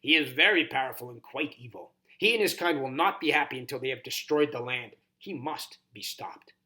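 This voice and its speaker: A man's voice: mid-range voice, a little fast, pushed and bright. A man voicing a wise horned toad mentor in a children's storybook, grave and instructive with somber warnings. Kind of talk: audiobook character reading.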